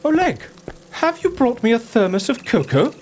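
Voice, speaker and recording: An actor playing a gleefully eccentric interviewer who relishes absurd schemes, speaking in a theatrical, grandly articulate way for an audio comedy drama. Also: male voice, very high voice, wildly swooping pitch, normal speed, slight background noise, full character voice. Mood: fussy, curious. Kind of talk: comic audio drama acting.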